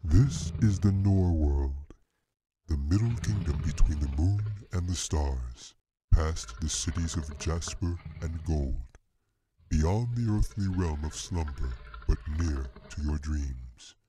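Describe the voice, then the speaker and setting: A man doing a deep rumbling bear king. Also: animated, noisy background, full character voice. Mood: solemn. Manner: slow, solemn and commanding. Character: huge deep-voiced male bear king, stern.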